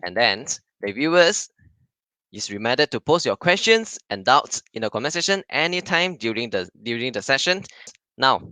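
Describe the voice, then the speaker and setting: A man's voice: medium pitch, sing-song, sharp tone, dry recording. A young man hosting an online webinar, introducing the session and speaker with frequent restarts. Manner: halting, restarts words often. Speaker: webinar host.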